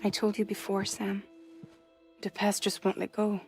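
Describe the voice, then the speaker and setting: An actress as a young woman courier in a cinematic game, weary but quietly resolute. She speaks in a middle register with wry understatement, with music clearly playing behind her. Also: medium pitch, completely flat delivery, moderate pace, natural voice. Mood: somber. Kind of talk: cinematic video game acting.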